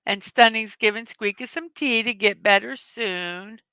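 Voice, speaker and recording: A middle-aged woman chats through a casual morning livestream, greeting viewers and reading their messages out loud. Her voice has a bright, clear edge that has worn a little hoarse, and the sound is dry and close. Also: a little high, unhurried, no echo. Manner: chatty, reads chat messages aloud, laughs often. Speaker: livestream host.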